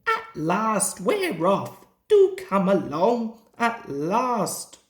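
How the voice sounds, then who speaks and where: Guy doing a boastful huffy little train engine for a kids storybook, swoopy pitch, echoey room. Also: huge pitch swings, extremely slow, exaggerated voice. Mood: impatient. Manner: self-important, huffy. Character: proud impatient male narrow-gauge steam engine, boastful. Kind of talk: children's storybook character reading. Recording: roomy, echoing home recording.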